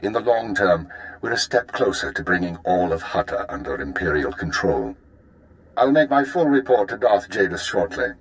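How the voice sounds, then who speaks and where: Deep-voiced, composed imperial spymaster. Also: male voice, sing-song, rough recording. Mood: satisfied. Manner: formal, measured.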